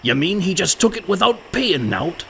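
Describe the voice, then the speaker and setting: An actor playing a rough, indignant pirate rogue in a radio play, exclaiming in gruff seafaring dialect. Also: male voice, sits high, big pitch swings, normal speed, a bit bright, exaggerated voice. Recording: faint background noise.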